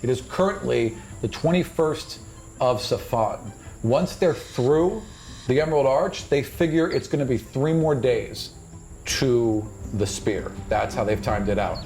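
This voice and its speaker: A man's voice: mid-range voice, animated, unhurried, darkish tone, soft background music. A man running a tabletop fantasy game as game master, mixing dramatic, descriptive narration with casual asides. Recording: clear background noise, insect ambience.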